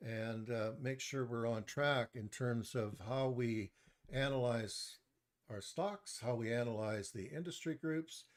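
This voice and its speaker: A soft-spoken man giving a live online stock market review into a desktop mic, measured, with frequent pauses and restarts. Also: mid-range voice. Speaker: presenter of a stock market webinar. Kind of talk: live online presentation, reading chat comments.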